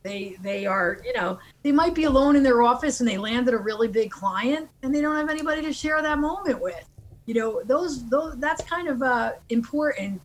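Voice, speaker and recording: A woman's voice: high voice, lively intonation, moderate pace, low-quality recording. A woman life coach answering interview questions in an earnest, encouraging way, laughing easily.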